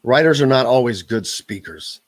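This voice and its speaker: A man's voice: mid-range voice, expressive, normal speed, crowd noise. Joking, rambling male sports radio host. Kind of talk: casual sports radio chat.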